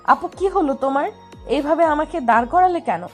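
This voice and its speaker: A woman's voice: high voice, lively intonation, normal speed, faint music behind. A woman playing a lovestruck, stubborn younger sister in a romantic audio drama, with a pouting, defiant manner.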